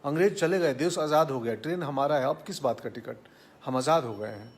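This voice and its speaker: A man's voice: medium pitch, a little fast, natural voice. A man voicing a playful old village elder in an anecdote, teasing and stubborn with mock-innocent questions. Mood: mock-indignant.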